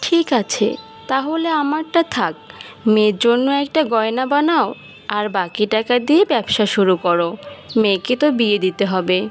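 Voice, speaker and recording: A woman's voice: on the high side, expressive, normal speed, faint music behind, natural voice. A woman voicing an anxious, practical village wife and mother in a cartoon, persuading and coaxing as she talks. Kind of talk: cartoon story dubbing.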